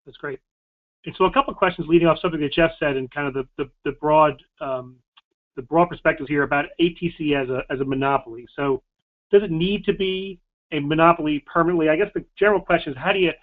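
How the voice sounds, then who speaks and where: Male moderator, very quick, slightly high-pitched, casually relaying questions over narrow phone-like audio. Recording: tinny, phone-like band-limited audio.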